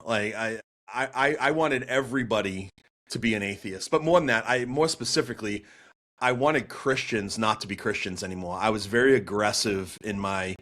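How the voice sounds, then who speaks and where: Chatty Boston-accented man, animated. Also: on the low side. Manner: animated, chatty, many fillers and restarts. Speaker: guest. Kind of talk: storytelling interview answer.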